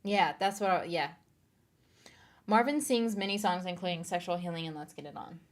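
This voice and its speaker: Chatty woman with a lowish voice. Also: moderate pace. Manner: chatty, reading viewer comments aloud and laughing. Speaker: streamer. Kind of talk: casual live-stream chat.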